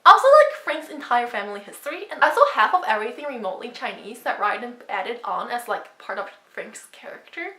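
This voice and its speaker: A woman's voice: fairly high pitch, big pitch swings, brisk, hardly any pauses, pushed and bright. A woman giving emphatic, opinionated commentary to camera as a book vlogger. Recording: roomy echo.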